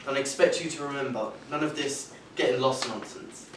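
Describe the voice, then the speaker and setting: Stern workplace boss, male actor; curt, condescending, medium pitch, heard from afar on an echoey stage. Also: fairly quick, fairly bright tone, close to an everyday voice. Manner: curt, scolding. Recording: distant, echoey stage recording with hiss.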